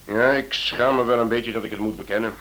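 A man playing a senior police chief in a radio play, speaking in a measured, authoritative way. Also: medium pitch, fairly even intonation, fairly bright tone, lightly acted, low-quality recording. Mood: rueful, insistent.

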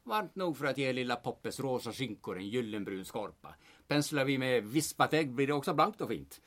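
Man acting a gruff, blustering artist, animated delivery. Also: mid-range voice, natural voice. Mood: gruff, mocking. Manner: blustering, sardonic, theatrically declaiming. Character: gruff, cheeky middle-aged male artist and winter bather, stubborn. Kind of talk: old-time radio comedy drama.

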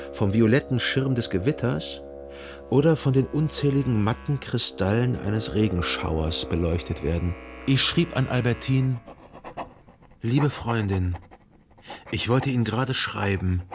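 An actor playing a melancholy, self-deceiving gentleman, a jilted lover narrating a literary radio drama in a measured, formal and carefully articulated way. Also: medium pitch, creaky. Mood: wistful, brooding. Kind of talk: literary radio play narration, reading a letter.

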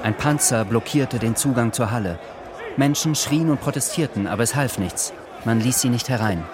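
An actor narrating a sober wartime story in a scripted radio drama. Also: male voice, medium pitch, normal speed, a crowd in the background, lightly acted. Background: dramatic music.

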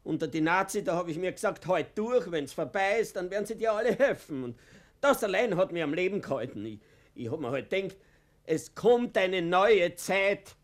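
A man playing a humble, aggrieved elderly petitioner in a radio play, with an Austrian accent and a halting, pleading delivery. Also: high-pitched, expressive. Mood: anguished, indignant. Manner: halting, repetitive, deferential, pleading.

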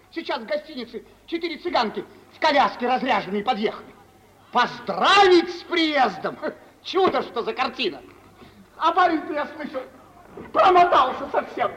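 An actor playing a touchy, vain suitor, declaiming with bitter sarcasm in an old Russian radio drama. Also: male voice, extremely high pitch, a bit bright, heavily acted, low-quality recording.

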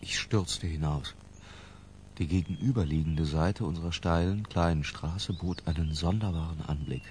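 An actor playing a cultured middle-aged man narrating his memoir in a radio drama, measured, brooding and detached. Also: low voice, darkish tone, a little hiss or hum, lightly acted. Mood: grim, observant. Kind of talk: literary first-person radio drama narration.

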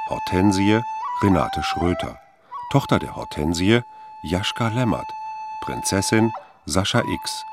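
A man reading scripted radio play credits as an announcer. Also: low-pitched. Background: music.

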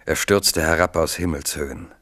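A man narrating a literary radio drama in a measured, deliberate way. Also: rumbling low, fairly even intonation, a bit bright, no echo, studio quality.

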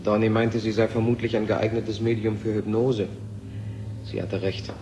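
An actor playing an embittered, brooding scientist in a German radio play, intense and sardonic. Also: male voice, on the low side, little pitch movement, normal speed, mellow, lightly acted, low-quality recording. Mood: grim, intense. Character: embittered, brooding male scientist, alarmed by a machine. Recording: hissy old radio recording with clicks.

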